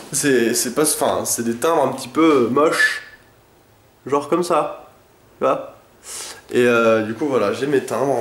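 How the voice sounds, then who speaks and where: Young guy vlogging with a blocked nose, kinda high voice, rambly and slangy, echoey room. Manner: rambling and chatty, lots of hesitations and slang. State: congested with a head cold. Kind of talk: casual daily vlog chat.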